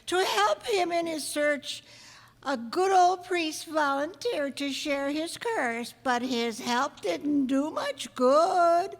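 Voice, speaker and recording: A gleeful ancient witch storyteller, high and wildly swooping in pitch. She spins her yarns in a folksy, cackling, old-time radio horror manner. The sound rings in an echoey hall. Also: a bit bright, exaggerated voice. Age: over 100 as played. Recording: echoey hall stage performance.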